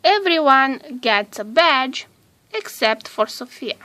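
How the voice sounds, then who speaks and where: A woman reads a children's storybook aloud. Her voice is fairly high and bright, and she takes her time, delivering each sentence in a measured way. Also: lively intonation. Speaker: storybook narrator.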